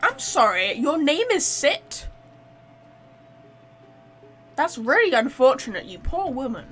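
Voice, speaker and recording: A woman giving casual let's play commentary as a game streamer, chatty and expressive. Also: sits high, slow.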